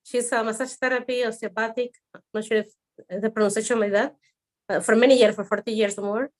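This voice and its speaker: Guest, woman, forties, Spanish accent, nervous and halting, on a video call with music underneath. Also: a little high, steady tone. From Venezuela, raised in Madrid. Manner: hesitant, many fillers and restarts, a bit nervous. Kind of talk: online interview answer. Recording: music in the background.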